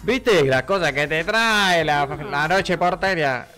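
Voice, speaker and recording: A man's voice: fairly high pitch, takes it slowly, bright, forceful tone, soft background music. A man in his thirties with a Buenos Aires accent, co-hosting casual radio chat with cheeky, slangy banter into a close studio microphone. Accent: Buenos Aires Argentine. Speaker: radio co-host. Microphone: close studio microphone with headphones.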